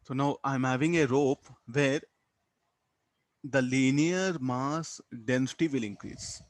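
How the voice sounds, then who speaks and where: A man's voice: mid-range voice, dry recording. Indian-accented man teaching, dry close sound. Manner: halting, repeats words, checks with "huh?" and "okay". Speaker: physics teacher. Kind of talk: classroom lesson, explaining a worked problem.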